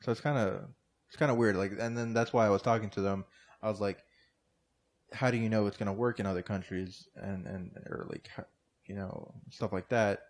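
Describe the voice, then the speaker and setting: Man, lowish voice, from the states, rambling podcast chat, lots of "like" and "uh". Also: steady tone, a little fast. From United States. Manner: rambling, many fillers and restarts. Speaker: podcast co-host or guest. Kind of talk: casual comedy podcast chat.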